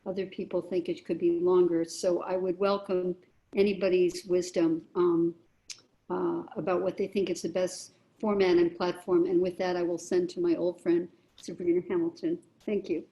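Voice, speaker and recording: Woman, lowish voice, warm and mellow, slow and thoughtful, video call check-in. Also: little pitch movement, rough recording. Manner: thoughtful, unhurried, many fillers. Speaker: arts producer.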